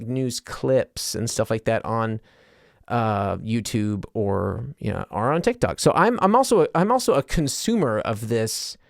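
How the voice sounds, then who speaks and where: A man's voice: mid-range voice, gravelly, smooth, no echo, clean studio sound. A man with an American accent hosting a podcast, talking casually in a rambling way and often interrupting himself. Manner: self-interrupting, trails into tangents. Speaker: podcast host.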